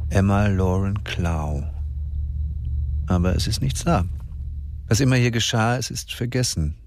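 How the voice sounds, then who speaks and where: A man narrating a radio crime drama in a deliberate, intense way. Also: deep voice, darkish tone, a little hiss or hum.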